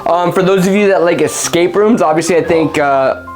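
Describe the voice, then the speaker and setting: A man's voice: sits high, brisk, faint music behind. A man co-hosting a casual chat, laid-back, giving short replies and steering to the next topic. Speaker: podcast co-host, real estate agent.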